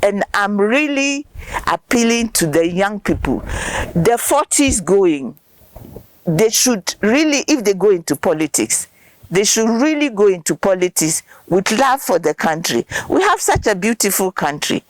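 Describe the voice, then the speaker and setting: Older woman, studio guest, emphatic and earnest, very animated pitch, dry close sound. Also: unhurried, no room sound. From Ghana. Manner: earnest, emphatic, repeats words for stress. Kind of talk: impassioned interview answers.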